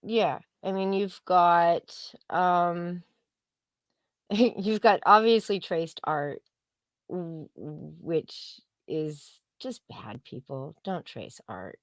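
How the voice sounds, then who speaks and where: A woman with a fairly low voice talks very slowly in a dry, close recording. She co-hosts a casual commentary stream and sounds wry and sarcastic, often leaving thoughts hanging in fragments. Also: no room sound. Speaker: co-host of a comics commentary show.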